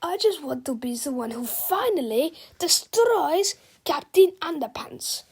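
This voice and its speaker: Woman as a high-pitched, brash cartoonish villain. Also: big pitch swings, measured pace, heavily acted. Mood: defiant. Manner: loud, gloating, brash. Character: excitable cocky male villain in robot trousers.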